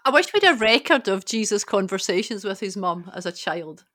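A woman's voice: mid-range voice, very animated, normal speed, bright, forceful tone, no room sound. Woman co-host in a dry, close recording. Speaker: podcast co-host. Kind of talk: casual reflective discussion.

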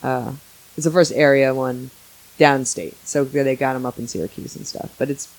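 Podcast host in their forties, medium pitch, casual banter, wry exasperation. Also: fairly even intonation, normal speed, low-quality recording. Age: 40s.

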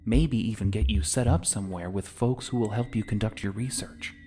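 A man's voice: fairly low pitch, brisk, mellow, a little hiss or hum, natural voice. An actor playing a wry young would-be freedom fighter in a sci-fi audio drama, talking in a casual, sardonic way as he bargains. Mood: earnest, bargaining. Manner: casual, sardonic, informal wording. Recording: music under the voice.

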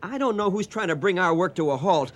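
An eager young archaeology assistant in a radio play. The voice is on the high side and swings widely in pitch. He is blunt and urgent, speaking in punchy, colloquial bursts. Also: expressive, fairly quick. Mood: alarmed. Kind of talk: radio drama acting.

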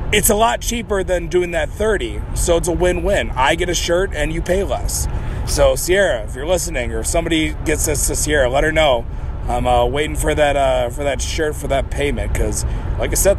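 A young man hosts his wrestling vlog, his voice hoarse from a cold and a touch high. He rambles casually, joking and restarting his sentences. Traffic and road noise run clearly underneath. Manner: loose and joking, lots of fillers and restarts.

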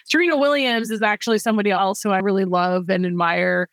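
A woman's voice: mid-range voice, fast, no room sound. Female founder, casual interview guest, rambling with fillers, dry recording. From grew up in Thailand, then the US. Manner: relaxed, rambling, many fillers like "you know" and "like".

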